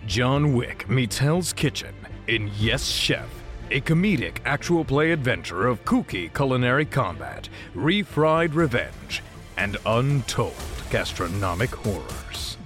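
A man's voice: medium pitch, big pitch swings, a little slow, a bit bright. A man delivering dramatic movie-trailer narration in a grandiose, theatrical manner. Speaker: trailer announcer. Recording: music behind.

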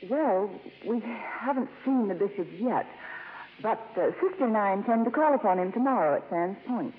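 An actress playing an earnest, devout nun with a polite, gently insistent manner in a 1950s radio drama. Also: female voice, medium pitch, animated, moderate pace, a little hiss or hum, close to an everyday voice. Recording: muffled, reverberant 1950s radio broadcast.

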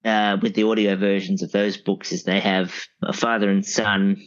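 A man speaking as an expert guest in a casual podcast discussion, explaining things in a rambling, halting way. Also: on the low side, flat delivery. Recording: dull, lo-fi audio.

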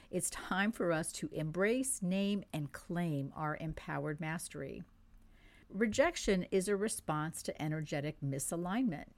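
A woman from France, French her first language, giving a monthly spiritual forecast in an earnest, measured way and stressing key words. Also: on the low side, a little mellow. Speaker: spiritual teacher.

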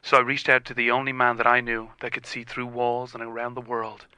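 A man's voice: mid-range voice, monotone, brisk, bright, forceful tone, smooth, no room sound. A man playing a wronged wife who hired Santa as an investigator, complaining in an improvised comedy call-in. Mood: aggrieved.

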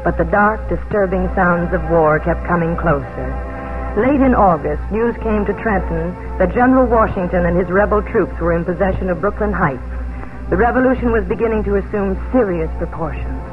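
A woman's voice: fairly low pitch, normal speed, narrow, tinny audio, close to an everyday voice. A refined, earnest voice of a proud, kindly colonial farm mistress acted by a woman. It is classic 1950s radio drama, properly spoken. The broadcast is thin and band-limited, with music in it. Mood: reflective narration.

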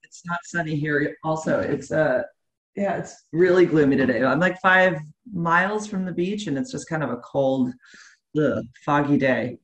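A woman's voice: sits low, normal speed. An American woman hosting a casual interview, asking chatty questions with fillers. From United States. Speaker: host.

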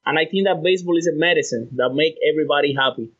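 A man's voice: a little high, very fast, fairly bright tone. Cheerful Cuban-accented man on a dull line. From Cuba. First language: Spanish. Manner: cheerful, laughs easily, rambling. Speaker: podcast guest. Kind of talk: casual phone interview.

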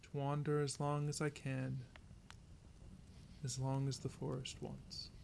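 A man playing a weary, resolute, lovelorn wanderer in an audio drama, narrating their journey with earnest, deliberate phrasing. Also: mid-range voice, monotone, creaky, darkish tone, slight background noise. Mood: solemn, resolute. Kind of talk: audio drama monologue and folk singing. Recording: guitar music behind. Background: acoustic guitar music.

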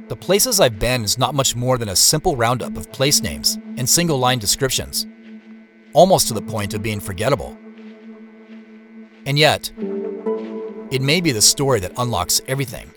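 An actor playing a reflective man who narrates his secretive search in a measured, descriptive way for a scripted audio drama. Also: medium pitch, a bit bright, natural voice. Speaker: narrator and lead character.

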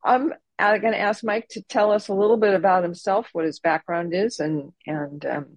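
A woman's voice: mid-range voice, steady tone, moderate pace, no echo. A middle-aged woman hosting an interview, chatty and giggly with fillers. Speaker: podcast host. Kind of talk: casual interview.

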